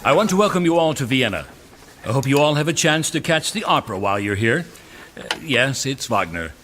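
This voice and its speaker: A man acting in an audio drama as a businesslike, assured chairman of a secretive project, speaking in a formal, measured way. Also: mid-range voice, very animated, a bit bright, slight background noise. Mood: courteous, brisk. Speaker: meeting chairman.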